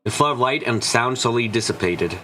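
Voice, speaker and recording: A man's voice: medium pitch, animated, a little fast, sharp tone, slight background noise. A man reading scripted story narration for a visual novel.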